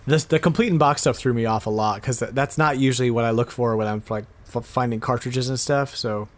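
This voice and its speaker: A man's voice: mid-range voice, steady tone, fairly quick. A man co-hosting a casual podcast, chatting playfully and sometimes muttering to himself in mock exasperation. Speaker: podcast co-host. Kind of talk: casual podcast chat about retro games.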